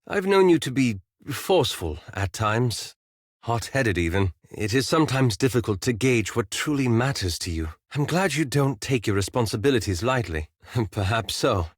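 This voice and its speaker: A scholarly male wizard from a fantasy video game, with a mid-range voice. He speaks formally and deliberately, earnest and a little self-doubting. The recording is close and dry, with no room sound. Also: medium pitch, dry recording. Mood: earnest, reserved, then thoughtful, uncertain. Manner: formal, measured, hesitant, thoughtful phrasing. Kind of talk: video game voice acting, dialogue lines.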